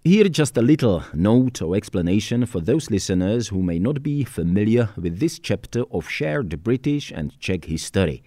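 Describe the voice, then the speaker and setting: A man's voice: fairly low pitch, sing-song, moderate pace, hardly any pauses, dark tone. A man narrating a scripted, explanatory note as the podcast host.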